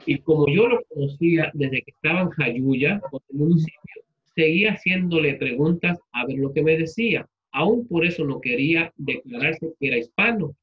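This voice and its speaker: A man's voice: on the high side, lively intonation, moderate pace, phone-quality sound. A man with a Puerto Rican accent teaching a literature class informally, explaining things in a conversational way with rhetorical check-ins. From Puerto Rico. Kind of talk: informal class lecture with personal anecdotes.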